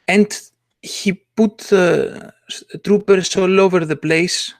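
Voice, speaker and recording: Man, casual chat, halting, restarts, fairly high voice, dry recording. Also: steady tone, no echo. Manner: halting, many restarts and fillers.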